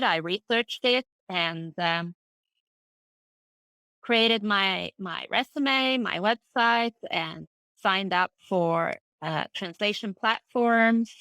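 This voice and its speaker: A middle-aged woman from Finland, a native Swedish speaker, answers interview questions in a relaxed and thoughtful way, pausing on fillers and starting over now and then. Her voice is bright and forward. The sound is dry and close, with almost no room echo. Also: lowish voice. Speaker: interview guest, freelance translator. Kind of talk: casual conversational interview.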